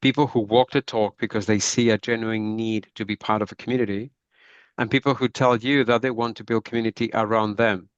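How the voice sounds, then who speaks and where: A middle-aged Spanish-accented man talks in a low voice with little rise and fall. His tone is smooth and resonant, and he tends to tag his thoughts with "right?". The sound is dry and a little dull. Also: steady tone, brisk, no room sound. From northwest Spain. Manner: reflective, often ends points with "right?". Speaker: podcast guest. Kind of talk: conversational interview.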